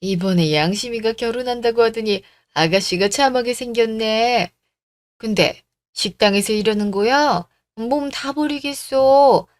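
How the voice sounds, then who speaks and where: A woman's voice: on the high side, unhurried, dry recording, studio quality, close to an everyday voice. A woman voicing an approving, nosy older female relative with a patronising manner, in a radio story drama. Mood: curious.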